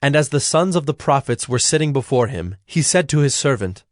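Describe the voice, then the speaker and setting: A man reading scripted Bible narration in a measured, solemn manner. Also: medium pitch, lively intonation, no echo, studio quality.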